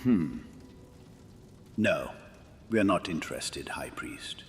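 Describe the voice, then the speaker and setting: A weary, devout medieval king played by a man speaks very slowly and formally. His solemn, measured fantasy audio drama delivery carries a long hall reverb over music. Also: very deep voice, sing-song, creaky, heavily acted. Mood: solemn refusal.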